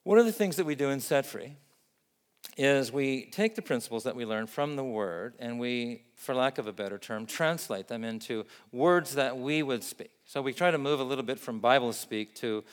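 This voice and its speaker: Male pastor, grew up in Vietnam; steady, earnest preaching, middle pitch, recorded in an echoing church hall. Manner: earnest, measured preaching with emphatic lists. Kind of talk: sermon.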